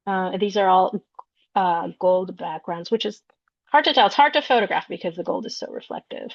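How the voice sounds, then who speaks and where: A woman from Peru, an artist, walking through slides of her work in an explanatory, unhurried way, searching for words. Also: mid-range voice, expressive, no echo. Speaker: visual artist. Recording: dull lo-fi recording, dry.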